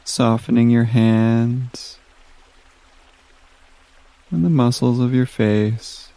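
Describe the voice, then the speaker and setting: Guy doing guided meditation, really slow and gentle, dry close sound. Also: medium pitch, flat delivery, clean tone, no echo. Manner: gentle, soothing, instructing with long pauses.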